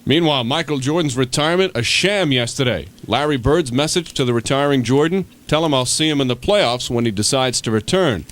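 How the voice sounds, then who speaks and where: Deadpan American male radio sportscaster. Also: mid-range voice, a little fast, few pauses. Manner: deadpan, wry. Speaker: sports reporter. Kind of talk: radio sports report with dry commentary.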